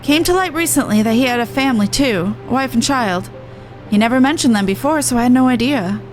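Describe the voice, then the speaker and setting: A woman game streamer reading dialogue aloud with chatty reactions, stumbling and correcting herself as she goes. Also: on the high side. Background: video game music.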